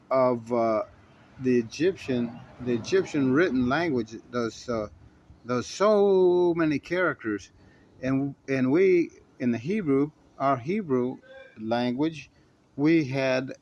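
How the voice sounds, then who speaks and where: A man in his shop telling a story in the first person, in a folksy, meandering way full of restarts and self-corrections. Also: medium pitch.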